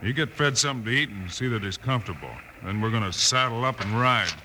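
An actor playing a steady, commanding American cowboy lawman in a 1950s radio western. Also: mid-range voice, fast. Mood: calm, reassuring. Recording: noisy, clicking old radio recording.

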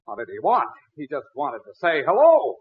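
A man's voice: fairly high pitch, wildly swooping pitch, normal speed, breathy edge, exaggerated voice. An American actor playing a fussy, blustery middle-aged father in 1940s radio comedy, sputtering and theatrical. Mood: exasperated. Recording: thin, tinny 1940s radio broadcast.

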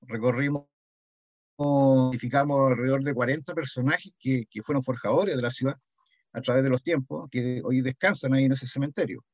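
An older man with a Chilean accent reminisces in a conversational interview, pausing and repeating words, often adding a short questioning "mm". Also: medium pitch, fairly even intonation, dry recording, highs cut off. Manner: hesitant, frequent "¿m?" tags and repeated words. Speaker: interviewee, heritage association founding member.

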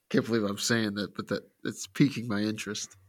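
A man's voice: fairly low pitch, little pitch movement, normal speed. A man co-hosting a casual podcast, laid-back, answering mostly with short agreeing replies. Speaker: podcast co-host.

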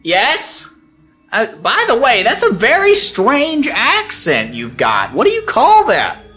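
A man's voice: very high-pitched, wildly swooping pitch, slow, bright, forceful tone, soft background music, voice pushed up, exaggerated voice. A man voicing a fussy, polite captive pet who is puzzled by a stranger's manners. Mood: bemused. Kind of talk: storytelling podcast character voice.

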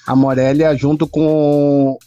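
Brazilian man over music, slightly high voice. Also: level tone, slow, mellow, clear, resonant tone. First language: Portuguese. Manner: mixes Portuguese and Spanish. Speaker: interview guest, footballer. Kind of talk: casual reminiscing. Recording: dull lo-fi audio, music behind.

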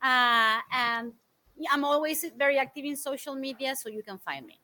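A woman in her forties from Argentina, guest on an interview show, telling stories in an animated, thoughtful way with many fillers. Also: sits high, normal speed. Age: 40s. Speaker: guest speaker. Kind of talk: interview answers, storytelling.

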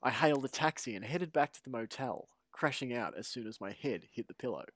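A man's voice: mid-range voice, animated, a little fast, fairly bright tone, dry recording. A man co-hosting a sports podcast, chatting playfully and reading a story aloud. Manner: playful, laughs often. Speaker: podcast co-host.

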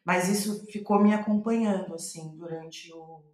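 A woman's voice: lowish voice, fairly even intonation, moderate pace, a little mellow. A woman with a Brazilian Portuguese accent speaking reflectively in an interview, thinking aloud and restating her phrases as she goes. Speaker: playwright, podcast guest. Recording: roomy, echoey sound.

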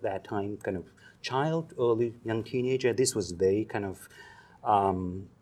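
A man in his fifties from Germany, speaking English with a German accent as a psychology professor answering interview questions thoughtfully, with frequent pauses and fillers. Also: fairly low pitch, darkish tone. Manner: reflective, pausing with many ums and uhs.

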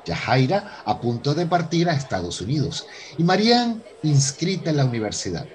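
A man's voice: a little high, big pitch swings, normal speed. A man hosting and narrating a radio soap opera from a script in a theatrical presenter's manner. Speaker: host and narrator.

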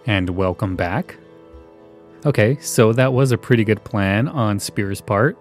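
A man hosts a podcast in a casual, conversational way. His voice sits a little low, and faint music plays behind him. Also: a little slow. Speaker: podcast host. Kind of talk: casual solo podcast commentary.

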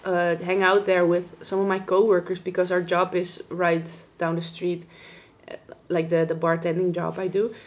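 A woman's voice: lowish voice, flat delivery, moderate pace. A woman chatting casually and teasing as a podcast co-host, swearing now and then. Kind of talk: casual chat. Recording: dull lo-fi sound.

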